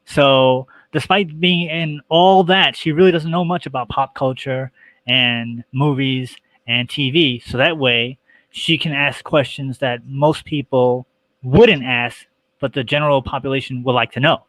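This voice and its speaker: A middle-aged man speaks casually as a guest on a show. His pitch is average and the sound is dry and close to roomless. He works through details in a halting, itemizing way. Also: a bit bright, dry recording, rough recording. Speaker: guest, radio show host. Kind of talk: casual interview talk.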